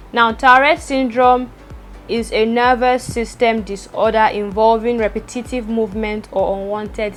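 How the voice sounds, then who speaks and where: A young woman vlogging from home, talking very fast with a stutter and repeating words. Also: fairly high pitch. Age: young adult. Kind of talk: personal vlog talk to camera. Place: indoors at home, rain outside.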